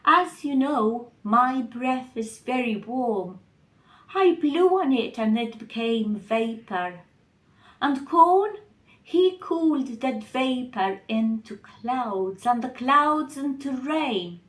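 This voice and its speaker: A woman's voice: fairly high pitch, animated, slow pace. A woman voicing Eva, a young goddess earnestly explaining herself to her father.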